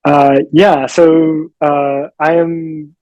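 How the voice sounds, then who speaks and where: A man's voice: a little high, little pitch movement, drawn-out words, darkish tone, dry recording. Thoughtful man from Israel. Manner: thoughtful, repeats words as he starts. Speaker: panelist, research professor. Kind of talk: casual spoken account.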